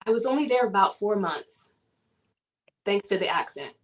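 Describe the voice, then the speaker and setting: A woman from the southern United States chats as an interview guest. Her pitch sits in an ordinary middle range. She tells her story with animation and laughs often. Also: expressive, normal speed, poor audio. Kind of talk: casual interview chat.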